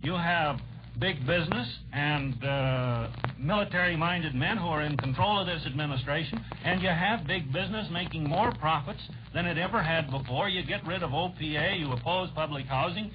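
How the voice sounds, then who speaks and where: An American man with a fairly high voice argues earnestly in a 1940s radio debate. The vintage recording is dull, with clear background noise. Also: moderate pace. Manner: earnest, argumentative. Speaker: debate panelist.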